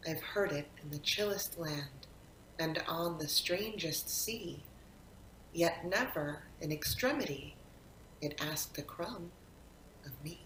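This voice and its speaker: A woman reciting poetry expressively, in a deliberate manner with dramatic pauses. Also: fairly bright tone. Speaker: poetry reader, actor. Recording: roomy echo, faint rumbling background noise.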